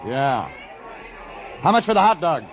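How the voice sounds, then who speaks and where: A man's voice: a little high, very animated, measured pace, some chatter behind. A man playing a terse, dry, wisecracking private detective in an old-time radio drama. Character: wry, hard-boiled male private detective narrator. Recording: muffled old radio playback in moving vehicle.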